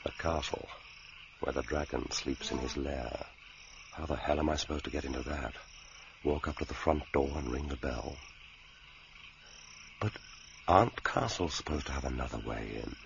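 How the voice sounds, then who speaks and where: A man's voice: rumbling low, moderate pace, creaky, pauses often. An actor playing a grimly determined secret agent, speaking his thoughts tersely and intensely in a radio drama. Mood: wary, wry. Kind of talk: radio drama internal monologue. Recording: dull, noisy archive radio recording.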